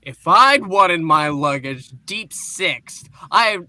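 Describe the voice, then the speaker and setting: A man's voice: sits high, animated, slow, sharp tone, no room sound. A man reading comic-book dialogue aloud as a casual amateur.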